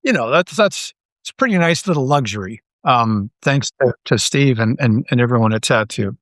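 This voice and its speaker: A man from Canada chatting casually as an interview guest, an animated storyteller who rambles and repeats his phrases. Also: medium pitch, moderate pace, no room sound, studio quality. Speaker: interview guest, audio drama writer.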